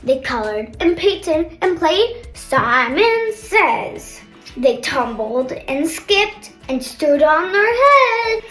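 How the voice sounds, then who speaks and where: A young girl reading a picture book aloud with chatty asides, in an expressive sing-song manner. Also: high voice, very slow. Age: child, older sister of a kindergartner. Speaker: storybook reader. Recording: roomy echo, faint background music.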